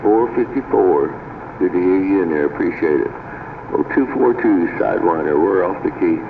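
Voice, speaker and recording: A man's voice: sits low, expressive, normal speed. An American man chatting casually as a CB radio operator. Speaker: CB radio operator hosting a radio night stream. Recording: tinny band-limited CB radio, clear static.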